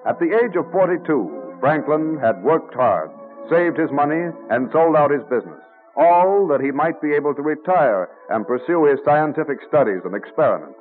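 A man's voice: fairly high pitch, animated, moderate pace. A man giving formal, grand scripted narration in the style of old-time radio drama. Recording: narrow-band vintage radio recording. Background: faint music.

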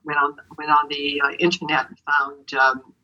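A woman's voice: sits low, level tone, normal speed, dull audio. An older woman from Canada, whose first language was Ukrainian, answering interview questions in a rambling, hesitant way full of fillers and restarts. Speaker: author being interviewed.

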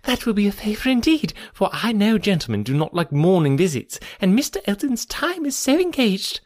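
A man's voice: high-pitched, very animated, normal speed, voice pushed up. A man voicing a fluttery, grateful, scatterbrained spinster in an audiobook of a classic novel, effusive and breathless, rambling in broken phrases.

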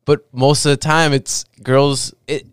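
A man's voice: mid-range voice, level tone, unhurried, clean tone, dry recording, clean studio sound. A man in his twenties in casual chat, blunt and joking, swearing freely. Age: 20s.

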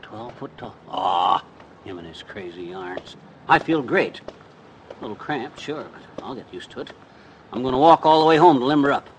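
A man's voice: medium pitch, animated, moderate pace, natural voice. A man acting a boastful, gullible husband with a folksy, exclamatory American delivery in a 1950s radio comedy. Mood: winded, determined. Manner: folksy, self-satisfied, exclamatory. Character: boastful, gullible middle-aged husband, proud of new figure. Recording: noisy old radio recording.

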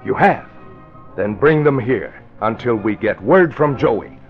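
An actor playing a terse, bossy crime boss giving orders in an old-time radio drama. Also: male voice, medium pitch, expressive, measured pace. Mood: brisk, businesslike. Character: curt, commanding gang boss giving orders. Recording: dull old radio recording, faint music.

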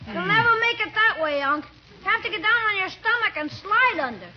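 Through the noise of a dull old radio comedy recording comes a bored, cheeky American boy. The voice is extremely high and whiny, wheedling and dragging out its complaints. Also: lively intonation. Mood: eager wheedling. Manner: whining, drawn-out, wheedling. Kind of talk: 1940s radio comedy acting.

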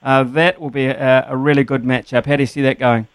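Male radio host, smooth tone, dry close sound, rambling conversational questioner. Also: mid-range voice, little pitch movement, a little fast, no room sound. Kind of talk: radio interview.

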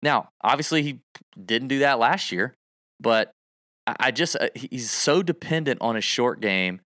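A man co-hosting a casual golf livestream chat, talking in an animated, emphatic way. His pitch jumps and dips a lot as he presses his points. The sound is dry and close. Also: normal speed, no echo. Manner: animated, emphatic, piles on stats. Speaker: golf betting co-host.

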